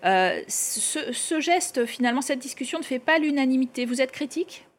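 A woman's voice: high voice, expressive, normal speed. A woman interviewing a guest on politics, framing news context before putting direct questions.

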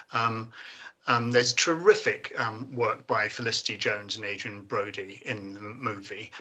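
A man speaking as an expert guest on film and technology, measured and thoughtful, with frequent pauses and filler sounds. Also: mid-range voice, bright, forceful tone. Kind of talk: expert commentary in discussion.

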